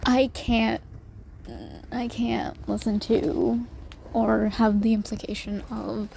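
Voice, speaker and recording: A woman's voice: fairly high pitch, creaky, a little mellow. A woman leaving a listener voice message as a hesitant, informal monologue. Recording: noticeable background noise.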